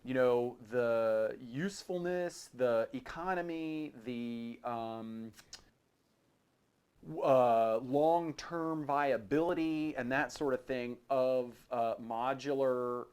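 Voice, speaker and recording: A man hosting a podcast in a casual, informal chat, thinking aloud in a halting way. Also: mid-range voice, a little mellow, smooth. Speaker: podcast host.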